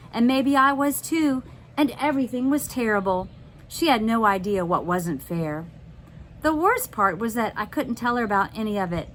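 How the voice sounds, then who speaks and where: A woman narrates a children's chapter book with expression and drama. Her pitch sits in a middle range, and noticeable noise runs behind the voice. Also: fairly bright tone, low-quality recording. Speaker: teacher reading aloud.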